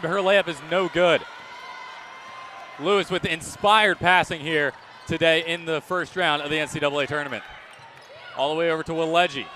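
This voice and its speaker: A man calling live college games as a radio play-by-play announcer, energetic and projecting, often raising his voice. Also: high-pitched. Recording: clear live sports venue background noise.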